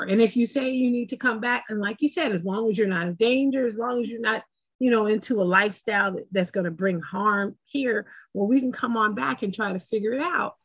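A woman's voice: mid-range voice, slightly rough, dry recording. Affirming woman in casual chat. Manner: affirming, lots of agreeing murmurs. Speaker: podcast host.

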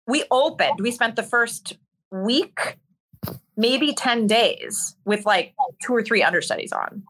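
A woman who performs in musical theater, speaking as an interview guest, telling stories in a candid, animated, conversational way. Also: on the high side, slow, low-quality recording.

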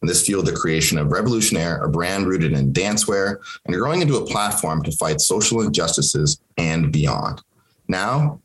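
A young man with a very low, deep voice hosts a conversation. He grew up in Kenya speaking Swahili first. He talks in a loose, friendly way, often pausing with fillers and restarting his sentences. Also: low-quality recording. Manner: relaxed and chatty, with many fillers and restarts. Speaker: podcast host. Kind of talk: conversational interview.

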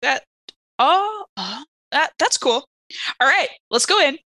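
Bright, sharp-voiced woman playing a tabletop game. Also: sits high, very animated, slow pace, dry recording. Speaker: player in a tabletop roleplaying game. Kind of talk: casual improvised in-character chat.

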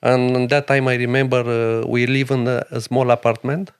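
A middle-aged man from Romania with a Romanian accent, a chef and restaurant owner speaking earnest, halting English in a podcast interview. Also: mid-range voice, level tone, smooth. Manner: broken English, earnest and emphatic, many pauses.

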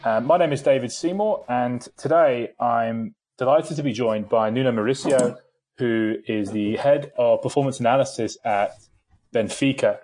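A man hosts an interview podcast in a medium-pitched speaking voice. He asks polite, loosely phrased questions and pads them with "um" and restarts. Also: moderate pace, darkish tone. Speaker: podcast host.

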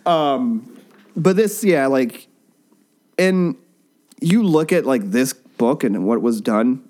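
A man's voice: mid-range voice, animated, slow pace, a little mellow. A man hosting a casual, joking chat about comic books, often breaking off and restarting his sentences, recorded in a basement. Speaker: podcast host.

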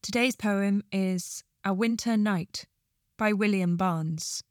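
A woman reciting poetry in a slow, measured delivery. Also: medium pitch, dry recording, studio quality. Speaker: poetry reader, charity staff.

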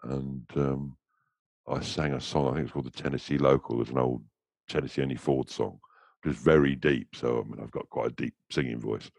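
A man's voice: very deep voice, fairly even intonation, a little fast, vocal fry, a little mellow, no echo. An English man, a musician, chatting casually as an interview guest, rambling through anecdotes with lots of restarts. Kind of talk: casual chat.

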